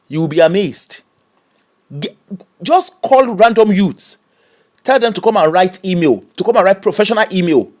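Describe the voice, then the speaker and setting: Animated Nigerian man ranting. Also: sits high, moderate pace. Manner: animated, switches into pidgin and mimics people. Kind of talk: opinionated commentary.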